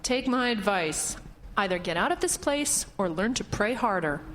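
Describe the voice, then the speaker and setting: Woman acting an earnest, insistent estate agent, mid-pitched. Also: lively intonation, moderate pace, fairly bright tone, slight background noise, natural voice. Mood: hurt, bitter. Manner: direct, insistent. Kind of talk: audio drama acting.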